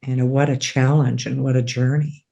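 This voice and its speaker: A man hosting an interview, speaking in a level, soft-spoken way as he recalls his guest's story. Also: mid-range voice, little pitch movement, moderate pace, vocal fry, dull audio. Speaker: interview host. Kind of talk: conversational interviewing.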